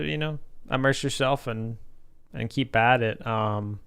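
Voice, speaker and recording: A man streaming his game development, chatting casually and thinking out loud with many fillers while encouraging viewers. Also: mid-range voice, clear, resonant tone. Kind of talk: casual livestream chat while coding.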